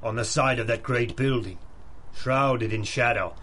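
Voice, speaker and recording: An actor playing a wary, loyal tracker to a hunter in a 1930s-style radio drama, speaking gravely and formally. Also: male voice, medium pitch, measured pace, a bit bright, slight background noise, close to an everyday voice. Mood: awed, watchful.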